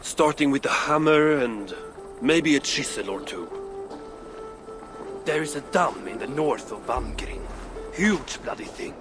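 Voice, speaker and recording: A boastful dwarf craftsman from a fantasy video game played by a man, gruff and sardonic. He talks bluntly at a middling pitch over clear background music. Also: animated, unhurried, long pauses, close to an everyday voice. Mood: scheming. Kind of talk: video game cutscene acting.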